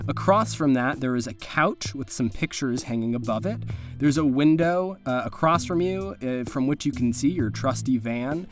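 A man's voice: medium pitch, animated, moderate pace, soft background music. A man running a tabletop horror game as game master, narrating casually with wry, colloquial, sweary asides.

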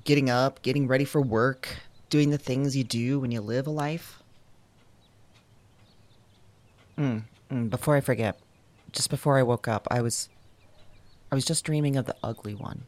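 An actress playing a sleepless, haunted woman who confides her dreams to an audio diary, halting and drowsy, sometimes slipping toward a whisper. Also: rumbling low, a little mellow, no echo. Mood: groggy, rattled. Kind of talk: intimate audio drama monologue as recorded diary.

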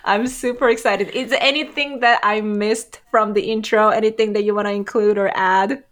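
Woman podcast host, super eager and excited, lots of you know and um. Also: medium pitch, normal speed. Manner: eager, enthusiastic, many fillers. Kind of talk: casual interview chat.

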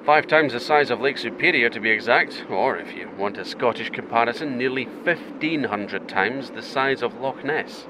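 A man playing a calm, friendly space mission captain with dry humour in a naturalistic audio drama. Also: mid-range voice, moderate pace, few pauses, background noise, lightly acted. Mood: brisk, dry.

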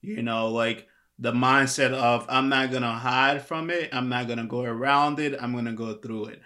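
A man hosting an interview podcast, animated and emphatic, with many fillers like "you know" and "like". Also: mid-range voice, normal speed. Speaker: podcast host.

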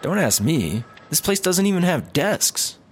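Wildly swooping, laid-back slacker employee. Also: male voice, fairly high pitch, sing-song, unhurried, husky, exaggerated voice. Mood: casual. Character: laid-back, perk-loving slacker male startup employee, eager to please. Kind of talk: comedy audio drama acting. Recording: background ambience and noticeable noise.

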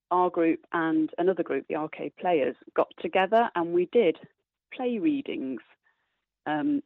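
A low-pitched woman speaks over a narrow, phone-like connection with very little room sound. She is a guest in a casual radio interview, explaining things agreeably as an amateur theatre performer. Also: no echo. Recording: thin phone-like radio line.

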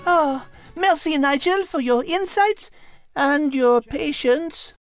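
A woman's voice: sits high, slow pace, faint music behind. A woman voicing a refined, teasing French cat with a French accent in a comedic audio drama. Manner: teasing, polite, French interjections. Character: sassy, exasperated, refined female French cat. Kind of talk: comedic radio-drama character banter.

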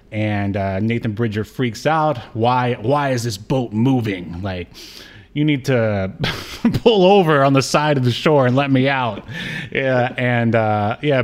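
A man co-hosting a podcast, chatting casually and jokingly as he rambles through a recap of a TV show. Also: medium pitch. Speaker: podcast co-host. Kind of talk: casual comedic recap chat about a TV show.

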